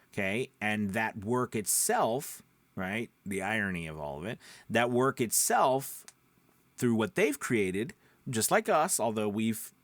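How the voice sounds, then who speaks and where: A man's voice: mid-range voice, fairly bright tone, no echo. Man explaining informally, very dry sound. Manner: emphatic, with frequent check-in tags like "right?" and "okay?". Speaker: voice-over coach and vlog host.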